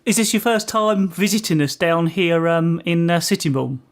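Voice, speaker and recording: A man hosting an informal community radio interview, asking questions in a hesitant, halting way. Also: fairly high pitch.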